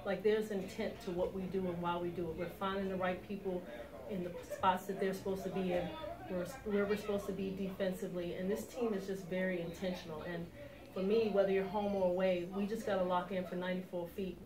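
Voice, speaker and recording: Middle-aged woman coach, direct and confident, slightly low voice, caught from afar in an echoey room with crowd noise behind. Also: flat delivery, a little fast. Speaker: head basketball coach. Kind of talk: post-game press interview. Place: small indoor office room with sofa. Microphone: far camera. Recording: roomy echo from a distant mic with crowd noise.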